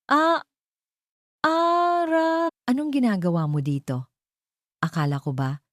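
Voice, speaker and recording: A woman's voice: high-pitched, steady tone, slow, fairly bright tone, no room sound, clean studio sound, voice pushed up. A woman voicing a guilty, fearful aunt in a story, pleading and evasive. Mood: startled, evasive.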